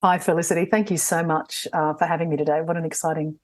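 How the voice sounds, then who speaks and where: A woman in her forties with an Australian accent, a somatic coach introducing herself in a friendly, animated way. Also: low-pitched, quick pace, no echo. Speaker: somatic coach and trainer, guest.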